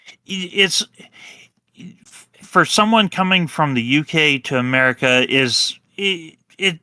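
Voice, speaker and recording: A man on a panel pushing back on a point, halting and restarting often. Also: on the high side, lively intonation, bright, forceful tone, clear, resonant tone, no room sound. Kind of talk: heated panel discussion on a live stream.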